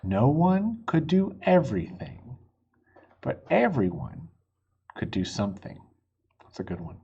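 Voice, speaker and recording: A man reading a picture book aloud to children in an encouraging, husky voice, pausing to explain and ask questions. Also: mid-range voice, wildly swooping pitch, mellow, muffled recording. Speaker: children's story reader.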